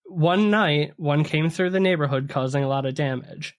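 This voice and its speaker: A man's voice: a little high, normal speed. A man co-hosting a podcast, telling spooky stories in a conversational way and ad-libbing as he goes. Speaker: podcast co-host.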